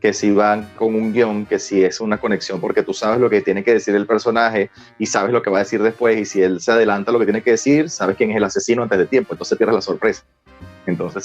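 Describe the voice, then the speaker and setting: Casting: Venezuelan male interview guest, lowish pitch, quick conversational explaining, slightly muffled lo-fi radio recording.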